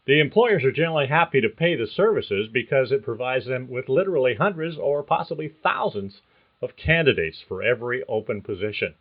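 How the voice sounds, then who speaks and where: A man's voice: medium pitch, expressive, brisk, phone-quality sound. Reassuring older male career coach. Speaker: career coach and podcast host. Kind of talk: scripted podcast monologue.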